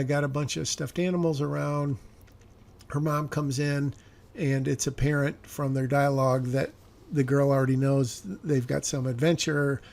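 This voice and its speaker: Man, screenwriter working aloud; a bit raspy and fry-heavy, halting, searching for words. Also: on the high side, a little mellow. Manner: musing, hesitant, self-correcting. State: slightly raspy voice. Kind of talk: thinking aloud while reviewing a script.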